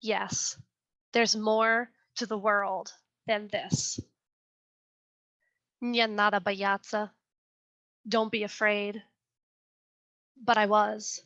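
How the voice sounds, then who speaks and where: A young woman reading her poem slowly and with care, then answering questions in an earnest, chatty way over a video call. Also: mid-range voice, little pitch movement, fairly bright tone. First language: English. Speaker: poet reading her work. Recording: dull lo-fi video call audio.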